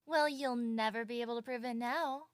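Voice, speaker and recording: An actress playing a bubbly, friendly young castle worker in an audio drama, in an exclaiming manner. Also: female voice, fairly high pitch, fairly even intonation, normal speed, no echo, clean studio sound, natural voice. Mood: cheerful.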